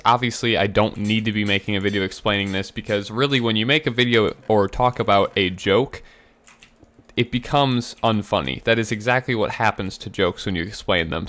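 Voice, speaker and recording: A young man with a slightly raspy voice giving casual gameplay commentary, explaining himself earnestly with fillers and restarts. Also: on the low side, fairly bright tone. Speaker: gaming YouTuber.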